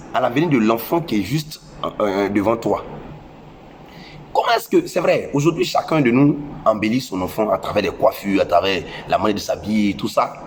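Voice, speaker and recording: A man's voice: mid-range voice, slight background noise. A young man with a West African French accent and a head cold, lecturing parents in a preachy, scolding way and repeating phrases for emphasis. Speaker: social media commentator. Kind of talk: impassioned moralizing monologue.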